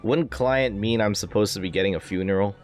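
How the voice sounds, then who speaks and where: Deep-voiced, low-key male game streamer. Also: a little fast. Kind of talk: casual live game commentary. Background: game music.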